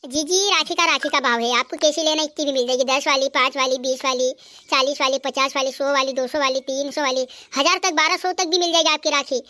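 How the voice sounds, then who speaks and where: An eager woman stallkeeper in a cartoon, pushing her rakhis on buyers, as a voice actor plays her. Her voice is high and sped up with a squeaky cartoon tone. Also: fairly even intonation, a little fast, sharp tone. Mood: salesy. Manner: salesy, hawking.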